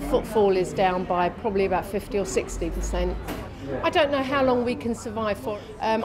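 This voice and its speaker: Woman interviewed over background chatter. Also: mid-range voice. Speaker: local business owner. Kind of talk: news interview. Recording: clear chatter behind the voice.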